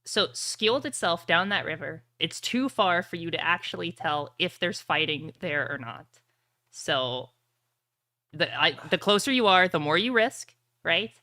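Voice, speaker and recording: A woman's voice: on the low side, expressive, measured pace, bright, forceful tone, no echo. A woman running a tabletop roleplay game as game master, narrating casually over music.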